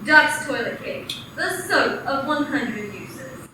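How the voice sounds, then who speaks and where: High, brisk woman announcer. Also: expressive. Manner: brisk, salesy. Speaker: radio announcer. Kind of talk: mock 1940s radio ad announcing. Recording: echoey hall from far off, noise.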